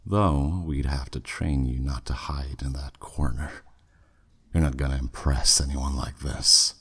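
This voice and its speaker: A man's voice: steady tone, unhurried, a bit bright, heavily acted. A man voicing a flirty, menacing demon who taunts a captive in a teasing, smug way, in a scripted ASMR roleplay. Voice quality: very deep, husky, breathy at phrase ends. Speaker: audio roleplay performer.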